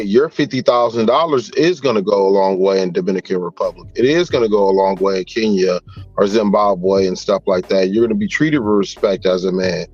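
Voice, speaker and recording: Low-voiced man rambling on a lo-fi stream. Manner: rambling, many fillers like "you know". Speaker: panel guest. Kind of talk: casual livestream panel talk.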